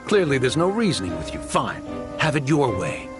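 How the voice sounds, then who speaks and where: A smug, greedy smuggler. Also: male voice, medium pitch, wildly swooping pitch, normal speed, over music. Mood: taunting. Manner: smug, boastful, wheedling. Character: greedy male smuggler posing as philanthropist, smug. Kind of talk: game cutscene voice acting.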